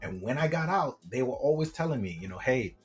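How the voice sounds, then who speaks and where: A middle-aged man from Trinidad and Tobago, a guest telling his life story in a friendly, animated way and often saying "man". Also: mid-range voice, a little fast, soft background music. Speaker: guest, government contracting coach. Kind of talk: casual interview storytelling.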